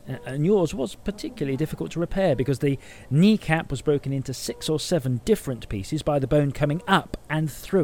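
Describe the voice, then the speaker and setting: A man playing a calm, frank hospital physician in an audio drama, explaining things in a measured, clinical way. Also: mid-range voice, lightly acted. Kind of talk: naturalistic audio drama acting.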